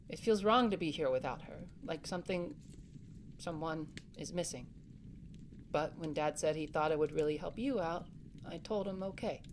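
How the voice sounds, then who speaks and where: A woman's voice: sits low, noisy background, close to an everyday voice. A woman playing a protective, caring young boy, a capable big brother, in a family audio drama, speaking in an earnest, reassuring way.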